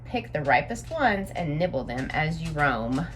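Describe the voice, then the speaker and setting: Female story-time reader for kids: lowish voice, very animated intonation, explains things simply, noisy home-style recording. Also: unhurried. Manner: simple, explanatory, addressing young listeners. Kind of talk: read-aloud storytelling with explanatory asides for children.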